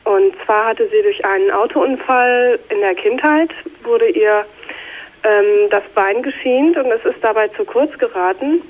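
She is a woman in her early thirties calling a radio show over a narrow, tinny phone connection. Her voice is fairly high, with a slight scratch from a cold. She tells her story in a measured, explanatory way. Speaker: phone-in caller. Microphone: telephone.

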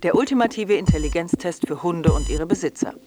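A man's voice: sits high, a little fast, loud music behind the voice. A man reading scripted quiz options and test lines. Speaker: quiz answer reader.

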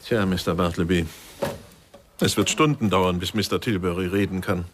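An actor playing a measured, politely insistent police investigator in a radio crime drama. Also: male voice, deep voice, noisy background, close to an everyday voice. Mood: measured, inquiring.

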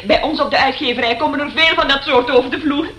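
A woman's voice: sits high, fast, a bit bright. An actress playing a gushing, curious young woman secretary in a Dutch radio play, with an effusive, forward manner. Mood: polite, curious. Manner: effusive, gushing, breaks into laughter. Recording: noisy old radio play recording.

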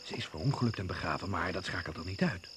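A man's voice: sits low, fast talker, natural voice. An actor playing a sceptical, worldly British secret service man in a Dutch radio drama, giving a terse, confiding briefing. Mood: sceptical, puzzled. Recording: noisy old radio play recording.

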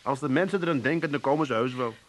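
An actor playing an absent-minded, evasive middle-aged husband with an Amsterdam accent in a comic radio play. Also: male voice, mid-range voice, fast, close to an everyday voice. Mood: dismissive. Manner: blustering, evasive. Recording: hissy radio recording.

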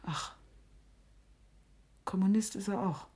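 A woman's voice: mid-range voice, takes it slowly, mellow, lightly acted. Stern, sardonic, suspicious mother played by an actress. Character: suspicious, sharp-tongued middle-aged mother of a teenager. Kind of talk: radio play dialogue.

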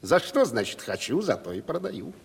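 An actor in a theatrical radio play, playing a sly, stubborn provincial timber merchant with a folksy, mock-deferential way of haggling. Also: male voice, a little high, big pitch swings, normal speed, gravelly, slightly rough, exaggerated voice. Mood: stubborn, smug. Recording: reverberant 1970s radio play studio.

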